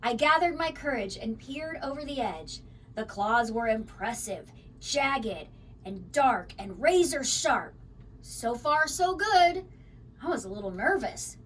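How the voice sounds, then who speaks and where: A woman reading a children's story aloud in an expressive, dramatic way. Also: on the high side, a little slow, distant mic, a little hiss or hum. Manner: animated, dramatic. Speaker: storybook reader.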